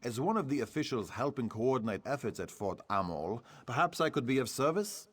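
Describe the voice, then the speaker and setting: An actor playing a secretive, scheming fort official in a fantasy video game, speaking in a measured, officious, insinuating way. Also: male voice, medium pitch, lively intonation, slight background noise, close to an everyday voice. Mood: officious, sly.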